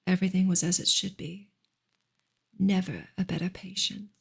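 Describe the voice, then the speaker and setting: A woman reading a novel softly in ASMR style, gentle and unhurried, often slipping into a whisper. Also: lowish voice, fairly even intonation. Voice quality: soft, breathy, often dropping into a whisper. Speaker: ASMR reader and streamer.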